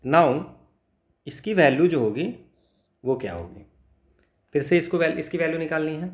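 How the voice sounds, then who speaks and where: A man's voice: on the high side, animated, moderate pace. A man with an Indian accent teaching step by step as a maths tutor, in an instructional way, repeating phrases and checking understanding with short tags. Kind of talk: step-by-step exam lesson, explaining worked solutions. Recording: echoey, roomy sound.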